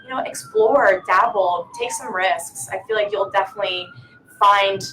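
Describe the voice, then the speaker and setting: A woman's voice: medium pitch, moderate pace, bright, forceful tone. A woman in her twenties on a discussion panel who talks in a friendly way and asks questions. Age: 20s. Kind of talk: casual panel talk. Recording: echoey room from far off, faint noise.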